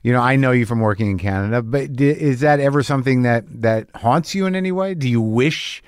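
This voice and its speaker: A male podcast host asks casual questions and fills in with quick encouraging remarks. His voice sits at a middle pitch. The close mic gives a dry sound. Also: expressive, smooth, dry recording. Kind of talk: casual interview chat.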